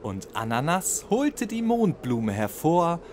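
Expressive male children's story narrator. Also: on the high side, slow pace. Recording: clearly noisy background.

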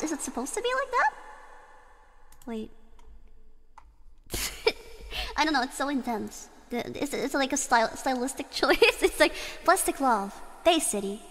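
A woman's voice: high voice, pushed and bright, in a large hall. A woman streamer with a strong singing voice, chatting and giggling between songs on a karaoke stream. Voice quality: strong belting voice. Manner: giggly, chatty, reads chat aloud.

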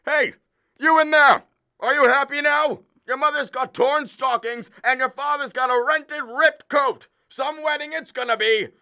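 Man acting sharp-voiced exasperated father of the bride. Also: very high voice, a little slow, dry recording, full character voice. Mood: angry, sarcastic. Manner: sarcastic, ranting, exasperated. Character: exasperated middle-aged father of the bride, angry, sarcastic husband. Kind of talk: radio play acting.

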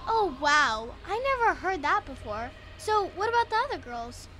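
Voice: very high voice, sing-song, moderate pace, bright, forceful tone, soft background music, full character voice, poor audio. A performer playing a curious, innocent, literal-minded little boy in a family audio drama, asking eager, naive questions.

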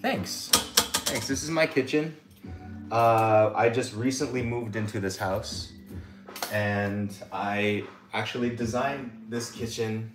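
A man's voice: fairly low pitch, slow, music in the background. A man in his thirties hosting a casual cooking livestream from his home kitchen, talking in a loose, rambling way with many fillers. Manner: relaxed, rambling, lots of "like" and "um". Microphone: camera set a few feet away.

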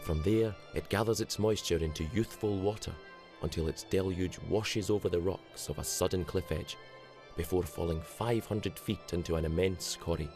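A man narrates a nature documentary in a steady, descriptive voice. His tone is very deep. Clear music plays behind him. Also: moderate pace.

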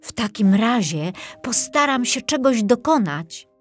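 A woman as a proud little boy prince, higher-pitched. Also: sing-song, unhurried, faint music behind. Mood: resolute. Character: small proud young prince, earnest boy. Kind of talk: children's storybook character acting.

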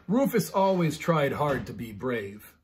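A man's voice: mid-range voice, big pitch swings, unhurried, slight background noise. A middle-aged American man, a pastor chatting casually and reading children's bedtime stories expressively. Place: living room at home, indoors.

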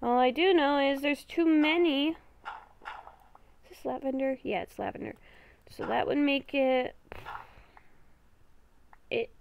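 A woman giving casual gameplay commentary, talking to herself in short, halting phrases. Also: sits high, creaky, a little hiss or hum. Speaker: gamer recording a roleplay series.

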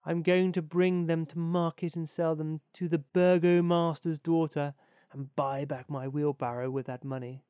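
A man's voice: a little high, flat delivery, moderate pace, warm tone, clean tone, dry recording, dull audio, lightly acted. A man voicing a good-natured, trusting little fellow in a storybook, meek and earnest in his manner.

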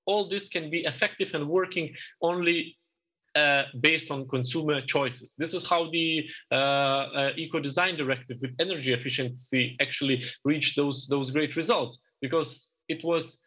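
Panelist, male, thirties, hesitant and restarting, bright-toned, dull video-call audio. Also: on the high side, husky. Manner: halting, many restarts and fillers. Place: office. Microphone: video call webcam.

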